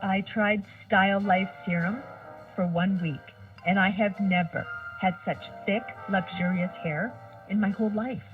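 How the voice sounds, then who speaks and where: A woman playing a satisfied customer in a scripted radio ad, giving a deliberate, assuring testimonial. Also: medium pitch, steady tone, lightly acted. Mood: assured. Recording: dull distant mic, music behind.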